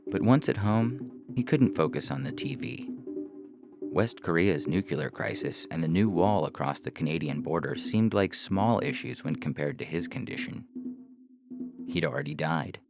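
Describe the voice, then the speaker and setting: A man's voice: deep voice, lively intonation, moderate pace, vocal fry. A man narrating scripted science fiction in a wry, dramatic storytelling manner. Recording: music bed behind the voice.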